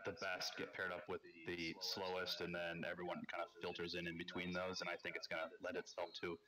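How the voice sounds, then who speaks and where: A man's voice: low-pitched, completely flat delivery, fairly quick, a crowd in the background. A man in his thirties hosting a community voice chat, explaining rules patiently and joking around.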